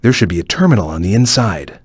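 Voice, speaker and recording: A man voicing a stern, watchful cartoon ninja guide in a video game, with a measured, formal, cautious way of advising. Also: lowish voice, very animated, slightly rough, dry recording, studio quality. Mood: cautious, instructive. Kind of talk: video game voice acting, short hint lines.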